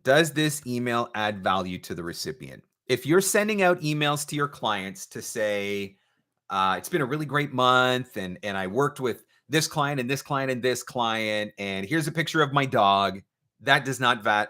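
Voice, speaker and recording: Bright, emphatic man giving advice. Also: mid-range voice, expressive. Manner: emphatic, deliberate, repeats key points. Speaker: host, voice-over marketing coach. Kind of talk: live Q&A advice talk, reading out viewer questions.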